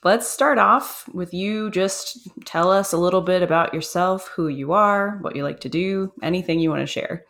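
A woman's voice: on the low side, normal speed, hardly any pauses, a bit bright. A woman hosting a podcast, introducing a guest and asking questions in a friendly, upbeat way. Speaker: podcast host.

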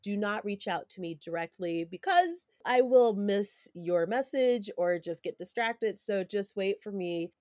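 A woman's voice: mid-range voice, animated, a little slow, few pauses, a little mellow, no room sound, clean studio sound. A woman from Denver, Colorado hosting a solo podcast, speaking candidly and reflectively with a stuffy, congested nose and many ums and restarts. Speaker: podcast host.

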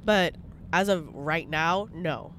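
A woman's voice: deep voice, slow, fairly bright tone, a little hiss or hum. A young woman with a congested voice, chatting bluntly in a casual vlog from her parked car, swearing freely. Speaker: vlogger.

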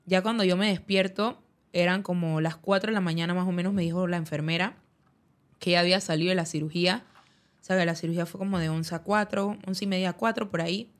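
A woman's voice: lowish voice, steady tone, fast. A woman, a guest in an interview, recounting a personal story in conversation, who acts out the dialogue she quotes. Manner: narrative, acts out quoted dialogue, uses many fillers like "dizque". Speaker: guest telling her story.

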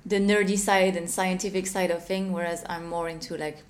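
A young woman from Switzerland, a company co-founder, answering interview questions in a soft, relaxed way. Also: on the low side, a little fast.

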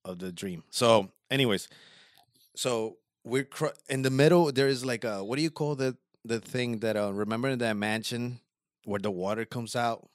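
A man in casual chat, telling a long story in a halting, rambling way with slang and many fillers. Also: medium pitch, dry recording, clean studio sound.

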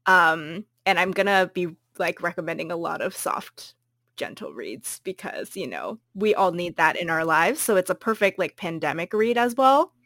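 A woman's voice: on the low side, lively intonation, normal speed, fairly bright tone, dry recording, clean studio sound. A woman co-hosting a podcast, chatting casually about a book she recommends, with many ums and likes and frequent laughs. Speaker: podcast co-host.

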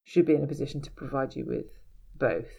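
A woman's voice: rumbling low, fast, creaky, dark tone, husky. A woman working as an audiobook casting director, answering interview questions in an earnest, emphatic way with many restarts and "you know"s.

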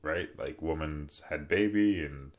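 Deep-voiced man telling a story casually. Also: unhurried. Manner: relaxed, rambling, soft-spoken with fillers. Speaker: podcast host.

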